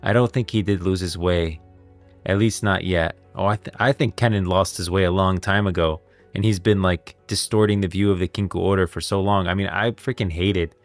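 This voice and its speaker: A man's voice: deep voice, fairly even intonation, fairly quick. A man livestreaming casual, emphatic reaction commentary on game lore, often repeating himself. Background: faint music.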